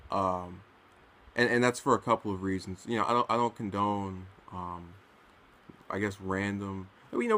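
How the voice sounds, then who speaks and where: A man's voice: on the low side, a bit bright. A man casually reading and commenting on news, stumbling over his words now and then.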